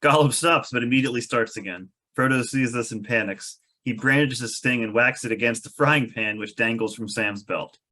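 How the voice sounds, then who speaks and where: A man's voice: mid-range voice, normal speed, few pauses, sharp tone. A man reading out stage directions as narrator at a fantasy script table read, with a wry manner.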